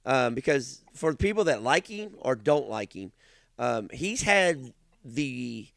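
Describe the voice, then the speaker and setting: A man with a Kentucky accent chatting casually as a podcast host in a folksy, halting manner. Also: medium pitch, sing-song, creaky, fairly bright tone, dry recording, studio quality. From Kentucky, United States. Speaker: podcast host, race announcer. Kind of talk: casual chat.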